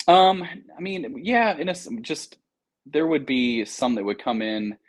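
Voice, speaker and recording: A man's voice: mid-range voice, animated, unhurried. An American man talking casually in an interview, explaining things in an informal, anecdotal, slangy way. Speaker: air traffic controller, interview guest.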